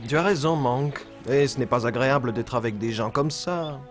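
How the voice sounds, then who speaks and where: A man voicing a kind, wise young lion in a French children's cartoon, expressive and gently instructive. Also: mid-range voice, moderate pace, music in the background, close to an everyday voice. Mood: kindly, instructive.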